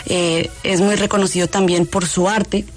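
A woman's voice: on the low side, level tone, normal speed. A woman reporting a news story as a correspondent, with some hesitation and fillers.

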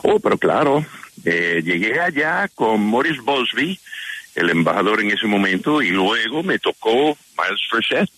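An older man from New York, a former diplomat, speaking Spanish with an American accent as a native English speaker, chatty and expressive with many fillers, answering radio interview questions. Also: fairly low pitch, measured pace. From New York, USA.

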